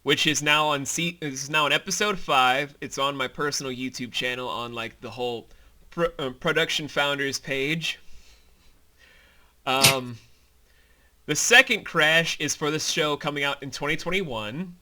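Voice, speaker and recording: A man's voice: fairly high pitch, unhurried, pushed and bright. A man co-hosting a behind-the-scenes commentary as the creator, chatting casually and explaining things in an enthusiastic, rambling way.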